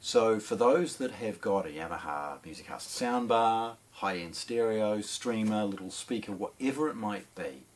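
A middle-aged man with a New Zealand accent casually presenting an unboxing, in a hesitant, improvised way with frequent pauses. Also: on the low side, lively intonation. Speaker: product presenter at an audio shop. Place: small indoor showroom with shelves and speakers. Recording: clear background noise.

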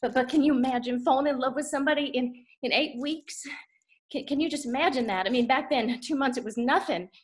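An actress playing an anxious, devoted daughter in a theatrical monologue, speaking haltingly and emotionally. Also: female voice, high voice, lightly acted. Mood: wistful. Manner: halting, repeating words, emotional. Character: anxious, devoted Southern daughter kept from her mama's bedside.

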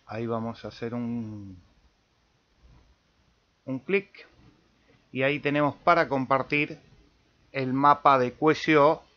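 A man with an Argentine Spanish accent giving an informal software tutorial, thinking aloud as he demonstrates. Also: mid-range voice, steady tone, slow pace, pauses often, muffled recording. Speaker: amateur radio video tutorial host.

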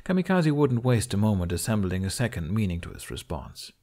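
A man's voice: lowish voice, big pitch swings, brisk, mellow. A man reading scripted fiction as an audiobook narrator.

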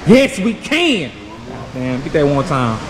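A man trash talking and bantering loudly while sparring in a large boxing gym, cocky and profane. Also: on the high side, very animated, takes it slowly. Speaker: boxer and vlogger. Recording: echoey gym with background noise.

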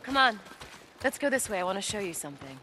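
A brisk camp scientist in a video game. She sounds earnest and guarded but determined, with a bright, forceful voice. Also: mid-range voice, very animated, slight background noise.

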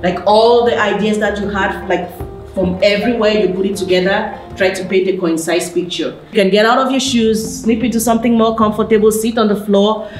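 A young woman from Cameroon, a PhD student walking through university lab rooms and explaining them in a relaxed way with frequent "like" fillers. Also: mid-range voice, brisk. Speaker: PhD student giving a campus tour. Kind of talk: casual guided tour talk. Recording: echoey indoor rooms. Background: background music.

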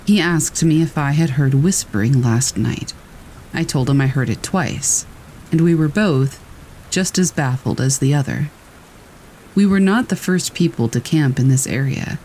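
A man's voice: medium pitch, rough recording. A man reading scary stories as a scripted narration. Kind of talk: scripted horror story narration. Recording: steady rain noise over voice. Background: rain sound.

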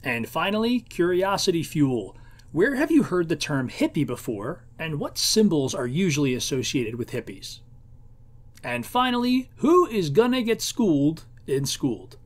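A man narrates as host of a book preview show. His scripted delivery is highly animated, with a fairly high voice that moves freely up and down. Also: moderate pace.

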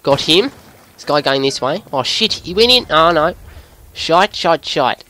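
A man putting on an Australian accent as an excitable, sweary bloke giving live video game commentary in a voice pushed higher than usual. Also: a little slow, dry recording. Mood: excited, exasperated. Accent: put-on Australian. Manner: excitable, sweary, breaking into giggles at himself. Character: excitable Australian bloke gamer, jokey larrikin.

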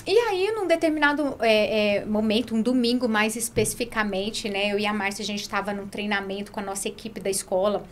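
A woman's voice: mid-range voice, fairly quick, few pauses, a little hiss or hum. A middle-aged Brazilian woman speaking conversationally as an interview guest, often checking in with short tag questions. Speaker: guest, franchise founder. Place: small curtained podcast studio. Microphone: close podcast mic on boom arm.

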